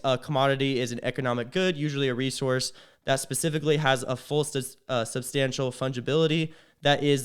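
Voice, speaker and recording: Casual young man, slightly raspy from a cold. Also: medium pitch. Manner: loose and conversational, tags sentences with "right?". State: a little sick, slightly raspy. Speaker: agency owner teaching business sales. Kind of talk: casual talking-head business tutorial.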